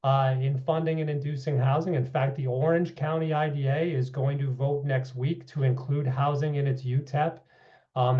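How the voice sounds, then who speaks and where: A slightly hoarse man giving a public meeting presentation, walking through figures with frequent asides of "okay" and "you know". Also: mid-range voice, dark tone. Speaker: housing policy presenter. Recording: dull lo-fi meeting audio.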